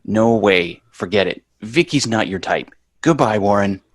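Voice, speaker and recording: A man's voice: lowish voice, lively intonation, a little slow, dry recording. Man playing a bratty, swoopy-voiced sitcom boy. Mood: bossy, annoyed. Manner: brash, sarcastic. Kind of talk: sitcom table read.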